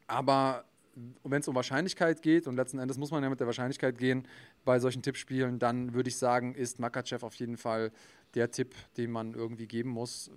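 A man's voice: mid-range voice, little pitch movement, brisk. A man co-hosting a podcast who explains his view at length, thinking aloud with frequent fillers. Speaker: podcast co-host. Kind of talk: casual chat.

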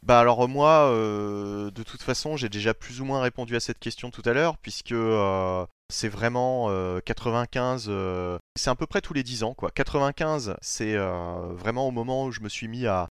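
A man's voice: medium pitch, moderate pace, hardly any pauses, smooth, no echo, clean studio sound. A man from France hosting a casual comics podcast, talking loosely with many hesitations and the odd swear word. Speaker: podcast host.

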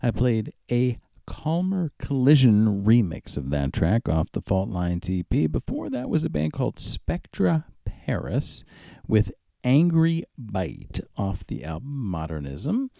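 A man working as a radio DJ, casually announcing tracks in a conversational, pausing way over background music. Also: mid-range voice, huge pitch swings, vocal fry, warm tone, no room sound, studio quality. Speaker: radio DJ and host.

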